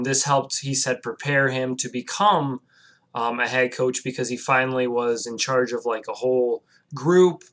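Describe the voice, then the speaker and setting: Young guy host of a sports vlog, casual and unscripted, lots of ums and restarts, average pitch. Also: fairly bright tone. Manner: rambling, with many fillers and self-corrections. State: recovering from a cold. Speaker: sports vlogger. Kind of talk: casual video commentary.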